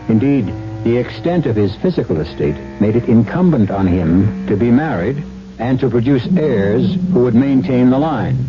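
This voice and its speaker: An actor playing a solemn, theatrical narrator of a gothic tale in a 1970s radio drama. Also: male voice, medium pitch, background noise. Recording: dull vintage radio broadcast, music behind.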